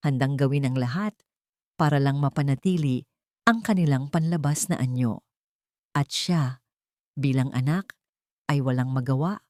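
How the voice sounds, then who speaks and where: Woman, low voice, dry close recording, scripted romantic drama narration. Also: mellow, no echo. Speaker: story narrator.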